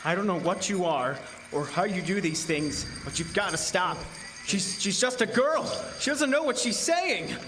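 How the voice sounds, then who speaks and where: An actor playing a weary, fearful carnival show owner in a horror audio drama, halting and hesitant in his delivery. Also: high voice, lively intonation, over music. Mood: desperate.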